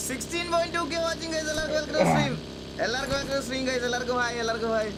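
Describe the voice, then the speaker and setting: A man with a Kerala Malayalam accent giving excitable live gaming commentary to his teammates, repeating short phrases as he goes. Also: extremely high pitch, rapid-fire, loud music behind the voice. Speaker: livestream gamer, host. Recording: noisy gaming livestream voice chat.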